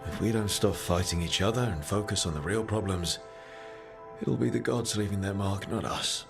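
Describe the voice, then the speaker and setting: Young assassin mage, low voice, wry, self-assured, kindly, fantasy video game dialogue, music behind. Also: male voice, normal speed, vocal fry. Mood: resolute, reflective. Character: confident, kindly rebel assassin mage, young male hero.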